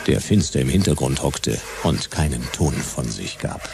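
An actor playing a laconic, wry private detective, narrating a science-fiction radio drama in deadpan noir style. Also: male voice, very deep voice, unhurried, creaky, background noise, full character voice. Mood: wry, puzzled.

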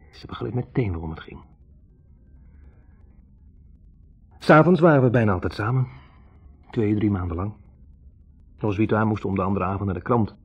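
A man's voice: lowish voice, a little mellow, breathy edge. An actor playing a young man, a physics student, hesitantly recalling a troubled love in a conversational 1960s radio play monologue. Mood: candid recounting. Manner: hesitant, self-correcting, reflective. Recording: muffled old radio recording.

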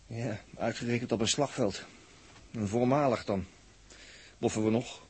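A man's voice: mid-range voice, moderate pace, darkish tone, slight background noise, lightly acted. A man with a Netherlands Dutch accent acting a practical, easygoing, wry space crewman in a 1960s science-fiction radio drama, musing and conversational. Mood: puzzled, wry.

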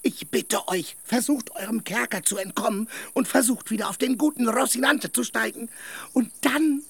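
A man playing an earthy, loyal peasant squire in a radio play, blunt, excitable and pleading. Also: extremely high pitch, moderate pace, vocal fry, slightly rough, heavily acted. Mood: exasperated, pleading.

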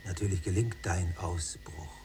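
An actor playing a bitter, sardonic younger prisoner in a radio play, with dry, biting muttered remarks. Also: male voice, sits low, measured pace, creaky, mellow, soft background music. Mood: sardonic, muttering.

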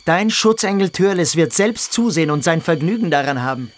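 An actor playing a menacing, sneering, bullying boarding-school pupil in a radio drama. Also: male voice, on the high side, expressive, fairly quick, natural voice. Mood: angry threatening. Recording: music behind the voice.

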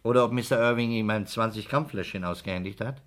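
An actor playing a thoughtful, persistent, quietly skeptical investigator in a 1960s German radio play, speaking in a conversational, probing way. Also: male voice, fairly low pitch, fast talker, natural voice.

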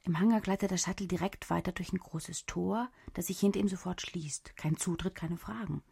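Female special agent, low voice, gravelly edge, sharp-tongued, confrontational, cyber thriller radio play. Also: a little fast, heavily acted. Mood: matter-of-fact. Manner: sarcastic, confrontational, commanding. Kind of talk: German radio drama acting.

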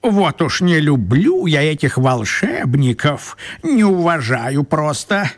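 A puny, shifty old wizard in a radio play. His husky voice leaps wildly up and down at an easy, slowish pace as he chatters with fussy, flowery politeness and evasive little giggles. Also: fairly high pitch, exaggerated voice. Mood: grumbling. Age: older.

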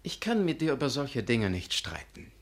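A man's voice: medium pitch, sing-song, normal speed. A man playing an earnest, pious and touchy young pastor in a radio drama, solemn and intense. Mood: stern, righteous. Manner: solemn, intense, given to sudden outbursts. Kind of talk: German radio drama acting.

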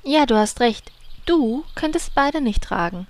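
Snooty blood elf, highish voice, bitchy, fantasy audio comedy. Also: female voice, animated, a little slow, darkish tone. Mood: vain, catty. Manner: haughty, bitchy. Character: vain, catty young female blood elf.

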